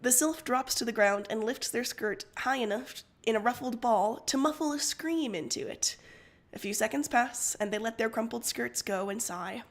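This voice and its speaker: A woman's voice: fairly high pitch, normal speed. A woman reading second-person fiction aloud as the narrator of a livestreamed story.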